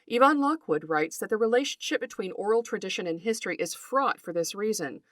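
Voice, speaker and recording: Woman podcast narrator, scholarly scripted read, precise and deliberate phrasing, lively pitch movement, dry close sound. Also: no echo. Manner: precise, articulate, lecturing with deliberate enumerations. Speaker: podcast host.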